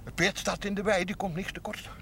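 An actor playing a lonely, gruff rural man who speaks in short, plain, colloquial phrases in a Dutch period TV drama. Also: high-pitched, fairly even intonation, brisk, noisy background. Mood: tender, mournful. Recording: 1970s TV soundtrack with music.

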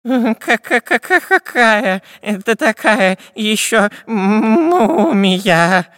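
A man voicing a cowardly, stammering male pirate spy in a squeaky, drawn-out, panicky voice. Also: animated, bright, forceful tone, no echo, clean studio sound, heavily acted. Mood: frightened.

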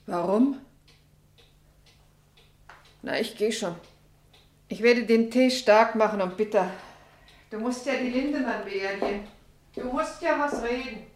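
An actress playing a brisk, sharp-tongued, grumbling housewife in a German radio play. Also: female voice, on the high side, close to an everyday voice. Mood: brisk, irritated. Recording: echoey room, faint noise, ticking.

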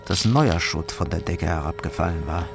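A man narrating a scripted crime radio play in a measured, matter-of-fact way. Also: low voice, gravelly, over music.

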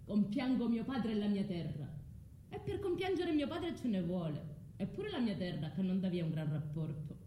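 An actress with a southern Italian accent playing a proud, vengeful, scorned foreign wife in a declamatory radio drama monologue. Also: female voice, a little high, warm tone, echoey room, a little hiss or hum. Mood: bitter, mournful.

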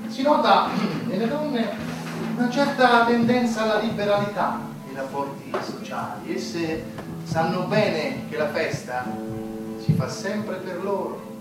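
An actor playing a wry, ironic narrator of an absurd war in a satirical stage play, with declamatory delivery. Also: male voice, high-pitched, measured pace, over music. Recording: echoey room from far off.

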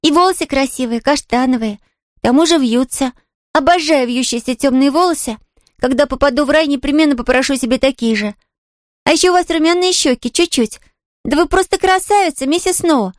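A high, bright-spirited little girl acted by a woman reading an audiobook. She chatters eagerly and courteously, with artless good cheer. The sound is close and dry. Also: brisk, no echo, lightly acted. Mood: admiring. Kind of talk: audiobook character voice.

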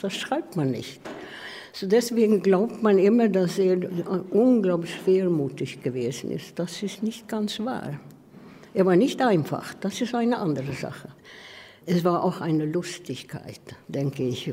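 A woman's voice: lowish voice, dark tone. Older woman with foreign accent, cavernous reverb. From outside Sweden. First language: not German. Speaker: interviewee, artist recalling her life partner. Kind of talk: personal reminiscence. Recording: long hall reverb, noisy background.

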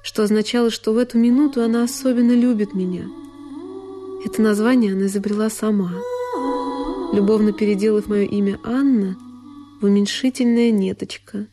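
Music plays softly behind an actress as a sorrowful young woman telling of her lonely childhood. Her voice sits in a middle register. She speaks intimately and deliberately, as in an expressive radio drama of classic literature. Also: mid-range voice, close to an everyday voice. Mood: wistful, pained. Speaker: solo actress. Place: studio radio production.

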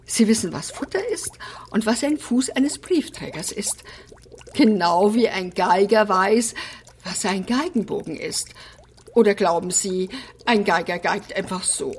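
A woman's voice: medium pitch, unhurried, a little hiss or hum, natural voice. An actress playing a prim, bossy and whimsical eccentric woman in a German radio play. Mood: prim, insistent. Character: fussy, eccentric fish-loving woman living in an apartment house.

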